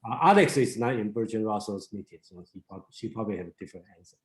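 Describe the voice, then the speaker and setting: A man leading a reading discussion group, halting and searching for words as he talks. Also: fairly low pitch, expressive. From China. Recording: roomy echo.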